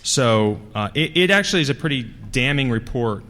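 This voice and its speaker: A man giving an informal technical talk as a conference speaker, with a halting, rambling, conversational delivery. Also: medium pitch, expressive, bright, forceful tone. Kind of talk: informal technical presentation to an audience. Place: large conference hall, speaking to a live audience. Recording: reverberant hall sound.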